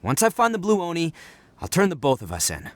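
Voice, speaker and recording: An actor playing a proud, hot-blooded young oni gang leader in a video game, earnest and theatrical with casual swagger. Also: male voice, on the high side, huge pitch swings, fairly quick, dry recording. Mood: earnest pleading. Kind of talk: video game voice acting, dramatic storytelling.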